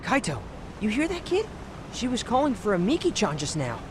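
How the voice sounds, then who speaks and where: A man's voice: high-pitched, huge pitch swings, brisk, noisy background. A man playing an eager, hopeful teenage boy in a video game cutscene. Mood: urging.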